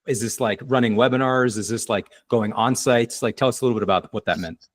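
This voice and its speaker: A man's voice: medium pitch, a little fast, a little hiss or hum. A man hosting a casual interview, asking loose, meandering questions. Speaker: podcast host, interviewer.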